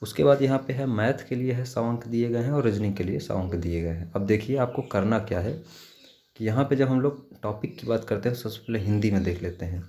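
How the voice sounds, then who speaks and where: A man's voice: lowish voice, brisk, dark tone. A man gives an informal instructional walkthrough as an exam preparation teacher, advising step by step and repeating key points.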